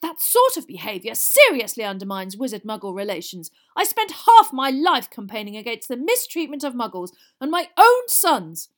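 A woman voicing an angry middle-aged wizard father in a storybook, loudly and indignantly scolding his sons. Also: on the high side, wildly swooping pitch, moderate pace, hardly any pauses, voice pushed up. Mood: furious, exasperated. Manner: loud, indignant shouting.

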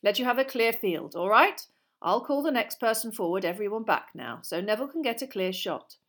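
A woman voicing a brisk, encouraging male professor of magic in a storybook, calling out instructions to a class. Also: a little high, animated, fairly bright tone. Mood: calm, instructing. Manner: commanding, calling out instructions to pupils. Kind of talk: storybook character voice.

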